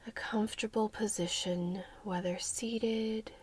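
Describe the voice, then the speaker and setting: A woman hosting an ASMR session in a soft, breathy, whispery voice, speaking gently and soothingly with measured phrasing. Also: medium pitch, fairly even intonation. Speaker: ASMR host.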